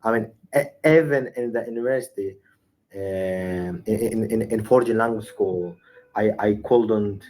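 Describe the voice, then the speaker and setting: A young man whose first languages are Arabic and Sorani Kurdish, speaking English haltingly over a video call with frequent fillers and restarts. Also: on the low side, a little mellow, a little hiss or hum. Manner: hesitant, many restarts and fillers. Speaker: English learner. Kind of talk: casual online conversation practice.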